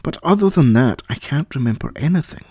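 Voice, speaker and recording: A man's voice: mid-range voice, expressive, a little fast, dark tone, breathy edge, dry recording, studio quality. A man voicing a bewildered, dryly sceptical young boy who has lost his memory. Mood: puzzled.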